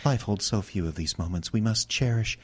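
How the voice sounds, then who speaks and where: An actor playing a suave, courtly charmer in a radio comedy mystery, with flowery, formal, romantic flattery. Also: male voice, on the low side, moderate pace, breathy edge. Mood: seductive, wistful. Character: suave, courtly older male charmer, theatrically romantic con man.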